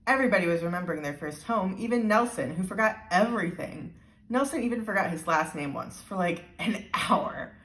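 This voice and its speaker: A woman reading a children's storybook aloud with deliberate, expressive emphasis. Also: lowish voice. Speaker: storybook reader. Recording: roomy, echoey sound.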